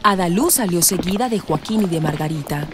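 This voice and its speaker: A woman's voice: lowish voice, brisk. A woman narrating a scripted radio drama. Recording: music behind the narration.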